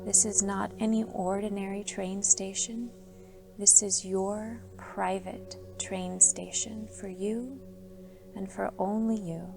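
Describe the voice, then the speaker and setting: A woman yoga instructor guides a sleep meditation in a soft, soothing voice, unhurried and drawn out with calm pauses. Her tone carries a gravelly fry at the ends of phrases, and gentle music plays behind her. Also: mid-range voice. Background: soft background music.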